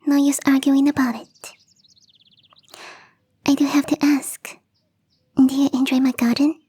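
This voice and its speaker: A woman voicing a gentle young catgirl gardener in an intimate ASMR roleplay, speaking in a soft, breathy, whispery voice straight to the listener. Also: high voice, level tone, a little slow. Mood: mildly grumbling. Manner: gently teasing, confiding, addressing the listener directly.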